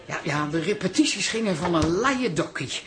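A woman's voice: on the low side, animated, normal speed, close to an everyday voice. Fussy, naive older aunt for radio comedy, played by a woman: chatty, swooping intonation, faint noise behind. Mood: self-satisfied. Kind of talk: comic radio drama acting.

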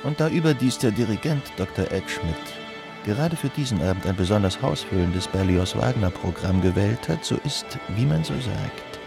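An actor playing an amused society gossip who reports news in a radio drama, with a creaky voice. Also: male voice, on the low side, animated, moderate pace. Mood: amused, gossipy. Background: music.

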